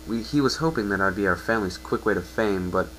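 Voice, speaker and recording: A man voicing a downcast, self-blaming young male pegasus, telling his story in an earnest, halting way. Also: fairly low pitch, a bit bright, slight background noise, natural voice, poor audio.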